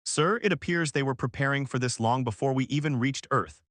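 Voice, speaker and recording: A man's voice: mid-range voice, a little fast, a bit bright, dry recording, studio quality, natural voice. A man playing a stressed, scaly female alien fleet coordinator who gives anxious military reports in a story. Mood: grave.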